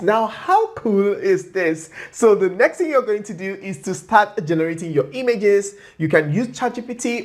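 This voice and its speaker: A tutorial host talking viewers through steps on screen in a chatty, playful way, often checking in with a quick okay or right. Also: medium pitch. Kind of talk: screen-share tutorial narration.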